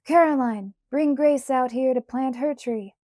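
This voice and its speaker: A woman voicing a homesteading father in an audiobook, a steady, plain-spoken and insistent family man. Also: medium pitch, a little slow, no echo, clean studio sound, close to an everyday voice. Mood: brisk. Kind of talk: audiobook character voice.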